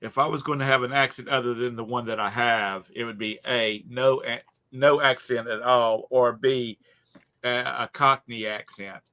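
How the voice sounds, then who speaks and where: Man, Charleston accent, bright clear tone, mid pitch, rambling casual storyteller. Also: steady tone, normal speed. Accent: Charleston, South Carolina. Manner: rambling storyteller with many fillers and restarts. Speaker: co-host. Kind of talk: casual chat.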